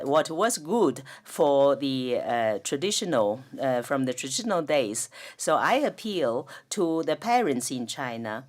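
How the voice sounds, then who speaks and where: A woman speaking as a panelist, hesitant and earnest. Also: sits low. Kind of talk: panel discussion remarks.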